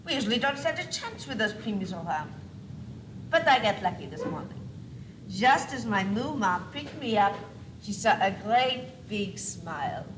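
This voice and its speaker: A woman's voice: medium pitch, sing-song, moderate pace, natural voice. Haughty baby boy doll, played by a female student, put-on French accent, theatrical and swooping, on an echoey, noisy old school tape. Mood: smug, storytelling. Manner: pompous, theatrical. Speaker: student actor in a school play. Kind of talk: stage one-act comedy acting.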